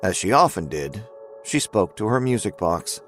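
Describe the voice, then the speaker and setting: A man's voice: lowish voice, big pitch swings, moderate pace, fairly bright tone, soft background music. A man narrating a horror audio drama with dramatic, scripted storytelling delivery.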